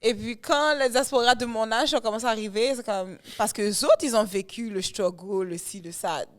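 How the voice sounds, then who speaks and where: A woman's voice: on the high side, brisk. A woman, a guest singer chatting animatedly in an interview and mixing in English phrases. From Canada. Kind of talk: casual interview chat.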